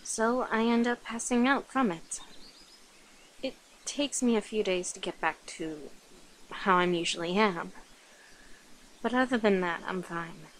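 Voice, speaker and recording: A woman voicing a sweet, caring vampire in a soft, hesitant ASMR roleplay. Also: medium pitch, measured pace, pauses often, a little hiss or hum, lightly acted, low-quality recording. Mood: soft, confessing.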